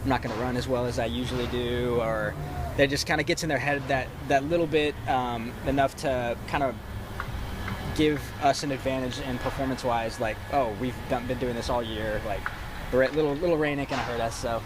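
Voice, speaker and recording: Young male college runner, mid-pitch, laid-back and halting; street noise behind. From Texas, Dallas suburbs. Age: young adult. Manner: relaxed, many fillers and restarts. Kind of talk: casual press interview.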